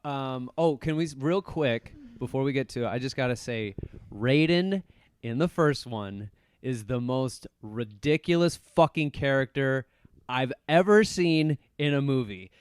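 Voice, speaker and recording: A man's voice: medium pitch, a little slow, smooth, no echo. A man hosting a podcast in casual, sweary conversation about movies. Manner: swears freely. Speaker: podcast host.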